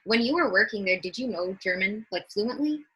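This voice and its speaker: A woman hosts the conversation, curious and quick to follow up with questions. Her voice is bright and slightly husky, at an ordinary pitch for a woman. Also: animated, dull audio. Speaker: podcast host. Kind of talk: interviewing.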